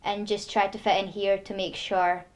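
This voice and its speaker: A woman's voice: medium pitch, little pitch movement, moderate pace. A teenage girl who grew up in Hungary, answering interview questions in a frank, earnest way. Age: teens. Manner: earnest, frank, indignant at times.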